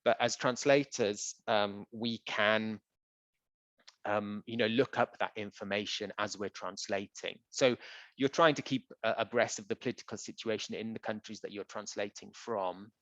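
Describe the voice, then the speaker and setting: A man who works as a translator, answering audience questions in a relaxed, conversational way with frequent ums and uhs. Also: on the low side, normal speed, no echo. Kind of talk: conversational Q&A presentation. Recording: dull, dry lo-fi audio.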